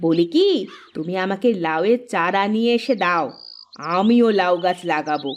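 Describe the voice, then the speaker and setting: A woman's voice: lowish voice, expressive, moderate pace, slight background noise, close to an everyday voice. A woman voicing an envious, insistent elder village wife in cartoon dialogue. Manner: nosy, insistent.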